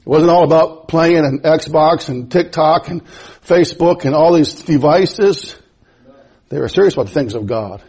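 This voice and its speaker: A man preaching a sermon, a native German speaker, who climbs into high emphatic passages and chuckles between phrases. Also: moderate pace, a little mellow, husky.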